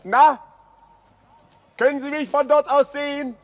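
An actor playing a sceptical, domineering journalist in a radio play, emphatic and excitable. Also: male voice, very high voice, measured pace, no echo. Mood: mocking, triumphant. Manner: emphatic, insistent, taunting.

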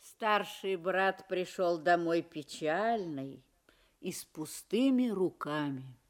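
A woman reads scripted fairy-tale narration as the storyteller of an audio play. She takes her time, speaking slowly in a slightly low female register.

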